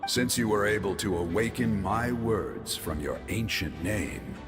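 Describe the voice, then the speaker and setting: A man's voice: lowish voice, animated, measured pace. A man voicing a solemn, noble ancient giant warrior in a video game, with stately, encouraging oratory. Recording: long reverb, music behind.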